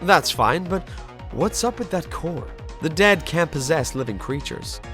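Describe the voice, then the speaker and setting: Someone doing a ghost detective thinking out loud, polite and curious, animated mid voice, music behind. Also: mid-range voice, a little slow. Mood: musing. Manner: reflective, polite, talking to themself. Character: curious, musing amnesiac spirit detective. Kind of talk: game fandub narration and dialogue.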